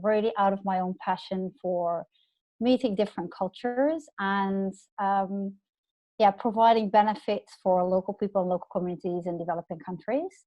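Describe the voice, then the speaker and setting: A woman's voice: mid-range voice, no echo. A woman from the Netherlands introduces herself as a founder on a video call, talking fluently with small fillers. The audio is dry and muffled, like a laptop connection. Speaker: panelist, founder.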